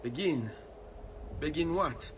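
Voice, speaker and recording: A man's voice: on the high side, animated, slow pace, fairly bright tone, a little hiss or hum. A man playing a proud, earnest young Italian nobleman in a video game, speaking formally with an Italian accent. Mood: guarded, firm. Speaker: character actor in a video game. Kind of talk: dramatic video game acting. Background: music.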